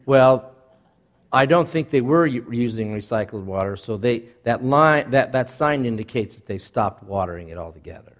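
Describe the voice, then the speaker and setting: A man's voice: medium pitch, expressive, moderate pace. A middle-aged man, a newspaper columnist, chatting and rambling as he takes questions from an audience, laughing often. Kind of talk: casual Q&A talk with audience.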